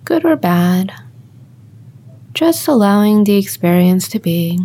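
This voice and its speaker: A woman leads a guided body scan meditation in a calm, mellow voice. Her delivery is slow and gentle, with long pauses between short instructions. Her pitch sits in an average range for a woman.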